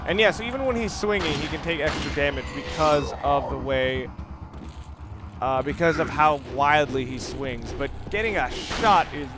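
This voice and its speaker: A man's voice: a little high, animated, normal speed. A man giving casual let's play game commentary, explaining things analytically and often correcting himself as he goes. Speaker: gameplay commentator. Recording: noisy recording with clear background noise.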